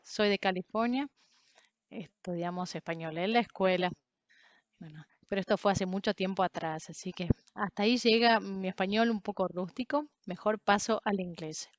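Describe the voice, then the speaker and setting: A woman interpreting a lecture, speaking in a measured, explanatory way with occasional fillers. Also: medium pitch, dry recording, muffled recording. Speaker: simultaneous interpreter.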